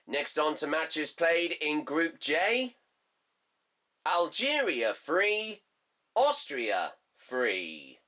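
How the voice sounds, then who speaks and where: A man hosts a fan football show, his voice slightly hoarse. He reads out scores and match facts slowly, in a bright, tense, cutting tone. He halts often and leans on fillers as he reads from his notes. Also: fairly high pitch, sing-song. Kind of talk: reading results and previewing a match.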